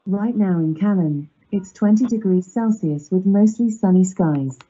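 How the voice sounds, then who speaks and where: A synthetic woman's voice reading out as a smart speaker assistant, measured and impersonal. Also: mid-range voice, mellow, husky. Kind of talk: synthetic forecast readout. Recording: dull smart speaker playback.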